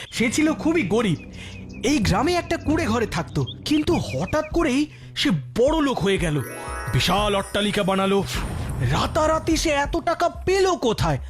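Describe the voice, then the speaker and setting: A man's voice: extremely high pitch, very animated, normal speed. A man voicing a jealous, scheming liar in a cartoon, talking in a sly, wheedling way. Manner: sly and wheedling, stammering when caught. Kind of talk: cartoon voice acting. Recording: music playing behind.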